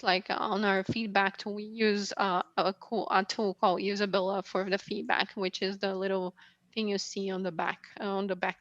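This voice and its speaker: A woman's voice: medium pitch, fairly bright tone, no echo, lo-fi sound. A woman from Brazil, not a native English speaker, answering questions after her talk in a casual, hesitant way with many fillers. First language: Portuguese. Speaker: guest speaker.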